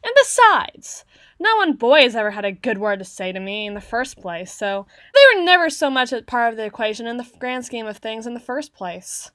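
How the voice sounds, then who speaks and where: A woman's voice: a little high, big pitch swings, normal speed, a bit bright, no room sound. A woman playing a flustered, haughty teenage tsundere girl in an ASMR roleplay, bookish and socially awkward, with formal, wordy diction. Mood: proud, aloof.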